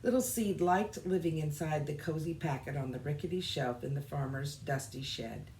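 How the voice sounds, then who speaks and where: A woman reading a children's storybook aloud with expressive, deliberate phrasing. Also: deep voice, slight background noise. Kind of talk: scripted children's storybook narration.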